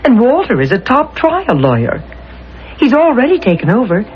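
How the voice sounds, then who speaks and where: An American actress playing an anxious, devoted middle-aged wife in a radio drama, speaking in an earnest, tender, hesitant way. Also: female voice, a little high, wildly swooping pitch, moderate pace. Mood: reassuring, careful. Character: anxious, devoted wife tenderly soothing her accused husband. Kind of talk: old-time radio drama acting. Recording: muffled, noisy 1970s radio broadcast.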